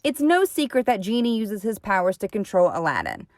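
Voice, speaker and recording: A woman's voice: mid-range voice, sing-song, a little fast, noisy background. A woman hosting and reading scripted narration in an upbeat presenting tone. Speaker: host.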